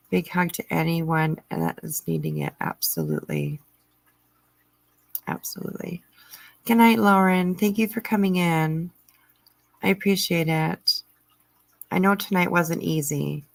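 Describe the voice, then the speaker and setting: A middle-aged woman hosting a livestream, chatting casually and answering viewer comments in a halting way with frequent pauses. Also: low voice, sing-song. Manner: halting, pausing often mid-sentence. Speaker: livestream host.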